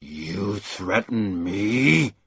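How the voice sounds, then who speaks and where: A man voicing an unseen, deep and resonant presence that speaks slowly and menacingly. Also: animated, a bit bright, slightly rough, dry recording, clean studio sound, heavily acted. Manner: slow, menacing, commanding. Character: deep deadly unseen male voice, commanding.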